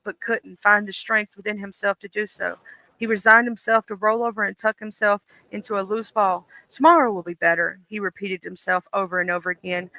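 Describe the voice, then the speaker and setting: Livestream host, horror story narration then casual chat, very bright sharp tone, dry close sound, loose and chatty with ums. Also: mid-range voice, vocal fry, no echo, low-quality recording. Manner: even, steady story narration, chatty with fillers and restarts.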